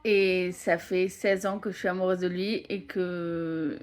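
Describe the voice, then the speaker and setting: A woman's voice: lowish voice, steady tone, measured pace, darkish tone. A woman in her late twenties chatting casually to her viewers as a vlogger. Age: 20s. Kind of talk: casual vlog chat.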